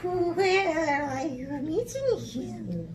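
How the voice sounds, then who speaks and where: A woman voicing a sly, easily frightened male snake in a children's storybook, with theatrical drawn-out words. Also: extremely high pitch, sing-song, background noise, pitched above the performer's own voice, heavily acted. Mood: curious. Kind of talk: children's storybook read-aloud.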